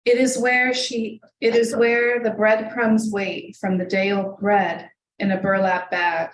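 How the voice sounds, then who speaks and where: A woman's voice: medium pitch, level tone. Woman poet reading in an echoey hall. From United States, Hungarian family. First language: Hungarian. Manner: measured, deliberate reading; asides loose with many ums. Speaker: poet reading her work. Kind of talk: live poetry reading with spoken asides. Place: reading room of a library, indoors. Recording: echoey room, voice from far off, faint hiss.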